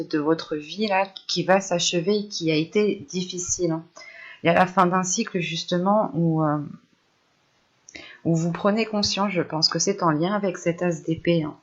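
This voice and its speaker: A woman's voice: lowish voice, lo-fi sound. A woman giving an improvised tarot reading, searching for her words and often repeating phrases as she goes. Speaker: tarot reader.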